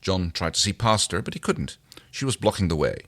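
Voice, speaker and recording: A man's voice: low voice, sing-song, fairly quick, fairly bright tone. A man co-hosting a podcast, reading fan fiction aloud and talking casually. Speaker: podcast co-host and reader.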